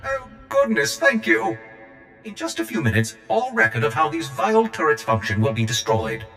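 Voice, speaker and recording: A man's voice: lowish voice, huge pitch swings, moderate pace, sharp tone, full character voice. A man voicing a fussy, put-upon protocol droid in a video game, prim and theatrically complaining. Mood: relieved, grateful. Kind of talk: video game cutscene acting. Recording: echoey hall, music behind.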